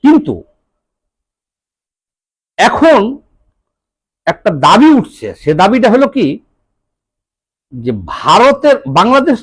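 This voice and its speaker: High-voiced, animated Bangladeshi man commentating. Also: slightly rough. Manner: deliberate, halting phrases with rhetorical questions. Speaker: political commentator. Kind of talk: political monologue to viewers.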